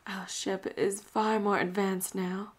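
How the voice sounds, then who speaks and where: A woman acting a flirty, dominant and cocky female pirate captain in a roleplay audio drama, teasing and breathy, often dropping toward a whisper. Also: medium pitch, flat delivery, slow. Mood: smug, flirty. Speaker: voice actor.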